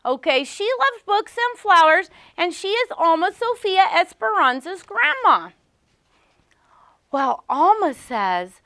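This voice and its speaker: A woman's voice: extremely high pitch, pushed and bright, dry recording. A woman teacher reading a storybook aloud to young children, asking simple questions and repeating key words with emphasis. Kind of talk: interactive storybook read-aloud lesson.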